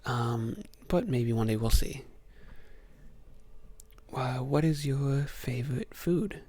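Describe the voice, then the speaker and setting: A young man from Ohio hosting a soft-spoken ASMR Q&A, answering questions hesitantly with many ums. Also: medium pitch, slow pace, slightly rough. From Ohio, USA. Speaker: ASMR channel host.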